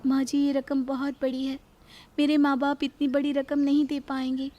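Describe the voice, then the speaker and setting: A woman's voice: high-pitched, completely flat delivery, moderate pace, warm tone, highs cut off, faint music behind, pitched above the performer's own voice, natural voice. A woman voicing a meek, worried young daughter-in-law in a cartoon story, speaking with timid, respectful pleading. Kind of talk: Hindi cartoon story acting.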